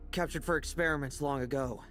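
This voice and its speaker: A man's voice: on the high side, a little fast, a bit bright, natural voice. A man playing a cool, brooding young knight vice captain in a video game, with a clipped, sardonic manner. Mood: matter-of-fact. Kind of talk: dramatic game voice acting. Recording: music behind the voice.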